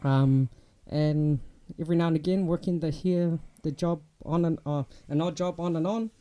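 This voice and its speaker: A young man with a New Zealand accent talks through his life in a relaxed, chatty way, often pausing on fillers. His voice sits a little high for a man and sounds soft and mellow rather than bright. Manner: relaxed, chatty, many ums and restarts. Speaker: guest. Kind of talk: casual interview chat.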